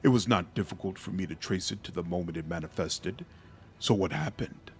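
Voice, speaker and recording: A man voicing a composed, calculating otherworldly male mentor in a fantasy game, speaking formally and deliberately. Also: low-pitched, lively intonation, a little hiss or hum. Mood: concerned.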